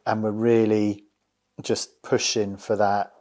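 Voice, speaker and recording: Low-voiced male interviewer, measured. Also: little pitch movement, a little mellow. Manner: measured, summarising, supportive. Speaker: interviewer, host. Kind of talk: conversational interview.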